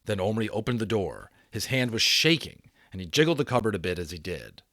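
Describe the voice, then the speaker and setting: A man narrates a children's novel with a fairly low voice that swings widely in pitch. He leans into theatrical pauses, and the recording is dry and close. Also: very animated, a bit bright, no echo. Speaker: storyteller. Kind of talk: dramatic audiobook reading.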